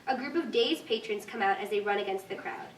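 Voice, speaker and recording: A woman's voice: medium pitch, brisk. A woman reading stage directions as scripted narration at a live staged reading. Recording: echoey room, distant mic, faint noise.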